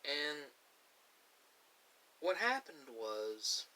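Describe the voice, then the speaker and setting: A man's voice: a little high, sing-song, drawn-out words, pushed and bright, poor audio. A man telling a casual, rambling personal story, hesitant and often repeating and correcting himself. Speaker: ASMR video creator. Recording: clear background hiss.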